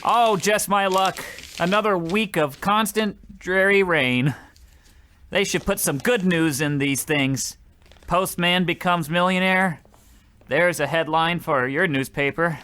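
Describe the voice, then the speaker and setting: A man's voice: high-pitched, slow, sharp tone, smooth, exaggerated voice. A man playing a meek, put-upon, poor postal worker who mutters fretfully in old-fashioned wording, in the style of old radio comedy. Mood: glum, resigned.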